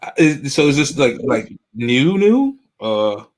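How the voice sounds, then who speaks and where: A man's voice: mid-range voice, big pitch swings, slow pace. A man hosting a podcast interview, speaking in a friendly, loose way with street slang and calling his guest brother. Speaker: host.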